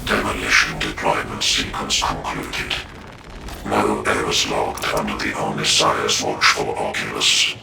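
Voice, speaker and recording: An actor playing a male machine-priest who intones ritual status readouts in a flat, mechanical chant. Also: rumbling low, unhurried, gravelly, roomy sound, distant mic, exaggerated voice. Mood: solemn litany. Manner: liturgical, precise, reverent to the machine. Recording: game audio over loud music.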